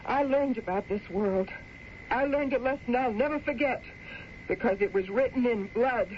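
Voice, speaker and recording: An actress as an insistent frontier woman who trusts nobody. Her voice sits fairly high and she speaks emphatically, repeating words for dramatic weight. It is a muffled radio western with plain background noise. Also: moderate pace, lightly acted. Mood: wary, intense. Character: determined frontier woman, armed, distrustful, insistent. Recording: muffled, noisy old radio drama recording.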